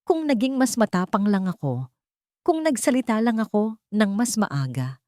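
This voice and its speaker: A woman's voice: medium pitch, big pitch swings, moderate pace, warm tone, dry recording, voice pushed up, lightly acted. A timid household maid from an audio story, acted out by a woman narrator. She pleads hesitantly, weighed down by guilt yet hopeful. The sound is close and dry. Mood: guilty, regretful.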